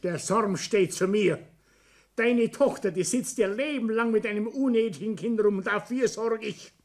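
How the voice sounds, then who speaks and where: A man's voice: high voice, moderate pace. An actor playing a domineering, hot-tempered old Bavarian father and businessman in a radio play, blustering and commanding with a Bavarian accent. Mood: vindictive. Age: older. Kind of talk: German radio play acting.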